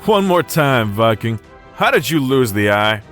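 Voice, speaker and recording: A man's voice: mid-range voice, big pitch swings, unhurried, a bit bright, faint music behind. A man voicing a laid-back outlaw gunslinger with a dry, teasing manner in a fantasy drama. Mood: wry, casual.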